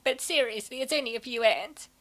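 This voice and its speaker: A woman's voice: high voice, brisk, fairly bright tone. A woman playing an easygoing, food-loving college student in a comic dub, with a breezy, playful manner. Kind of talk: comic dub character acting.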